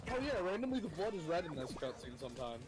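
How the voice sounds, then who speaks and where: A man's voice: fairly high pitch, big pitch swings, fast talker. Joking man chatting over game music. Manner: joking, teasing, explanatory. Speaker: streamer's co-commentator. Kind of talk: casual game stream chat. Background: clear game music.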